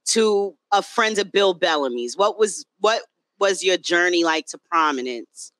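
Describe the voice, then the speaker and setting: High bright-voiced New York host. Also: male voice, lively intonation, unhurried, dry recording. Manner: eager, interjecting, laughs a lot. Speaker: podcast host. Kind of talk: casual chat.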